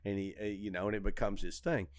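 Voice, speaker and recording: A man's voice: on the low side, quick pace, dry recording. Middle-aged man swapping stories, dry close sound. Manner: animated, opinionated storytelling, swears freely. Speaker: comedy club owner and comedian, podcast host. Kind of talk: casual shop-talk chat.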